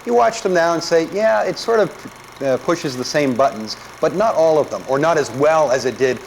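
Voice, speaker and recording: A man's voice: fairly high pitch, lively intonation, moderate pace, creaky, slight background noise, poor audio. A man talking as a guest panelist in a conversational film discussion, explaining his points.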